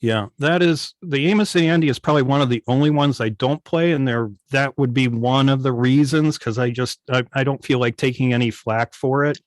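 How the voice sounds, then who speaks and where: Male radio-hobbyist guest, medium pitch, casual and a little hesitant, close dry sound. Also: a little fast, hardly any pauses, dry recording. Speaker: guest, old-time radio station operator. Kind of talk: casual interview chat. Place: indoors on a video call.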